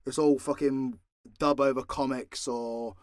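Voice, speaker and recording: A man's voice: mid-range voice, fairly even intonation, measured pace, no room sound, clean studio sound. A British man answering fan questions in a casual, unscripted chat, blunt and informal with plenty of swearing. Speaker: voice actor and channel creator answering fan questions.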